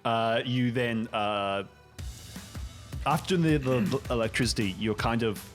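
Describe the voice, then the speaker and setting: A man narrating an improvised tabletop game as game master, piecing descriptions together haltingly. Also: medium pitch. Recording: music playing under the voice.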